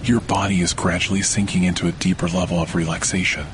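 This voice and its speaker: Male meditation host, low register, calm and measured sleep narration, rain and thunder faintly behind. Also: noisy background. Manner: calm, measured, scripted delivery. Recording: rainstorm and thunder under the voice. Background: steady rain and thunder.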